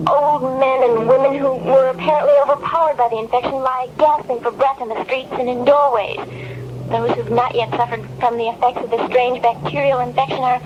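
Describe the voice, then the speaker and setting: A woman's voice: sits high, lightly acted. An actress playing a shaken woman reporter in a 1970s radio drama, giving a grave, dramatic mock live report from a disaster. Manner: dramatic, grave, ornate scripted delivery. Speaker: field reporter. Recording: muffled, noisy old radio broadcast tape.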